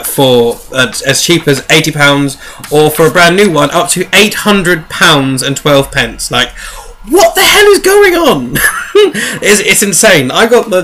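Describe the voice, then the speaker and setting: A man hosting a book review show in casual, animated, rambling chat with a jokey edge. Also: on the high side.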